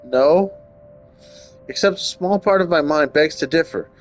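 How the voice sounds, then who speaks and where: A man's voice: on the high side, measured pace. A man hosting a gaming video, reading game text aloud and adding casual comments. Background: game music.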